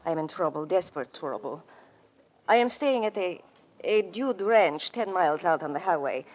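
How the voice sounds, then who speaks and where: An actress playing an elegant, wistful ballerina with formal, melodramatic speech in a 1940s radio drama. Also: female voice, fairly low pitch, expressive, moderate pace, darkish tone. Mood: troubled, pleading. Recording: noisy old radio recording.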